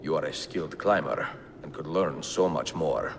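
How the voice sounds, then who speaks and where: An actor playing a middle-aged Italian master assassin in a video game, a calm, commanding mentor with a gravelly voice and measured, formal phrasing. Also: male voice, very deep voice, background noise. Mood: earnest, mentoring. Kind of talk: video game cutscene acting.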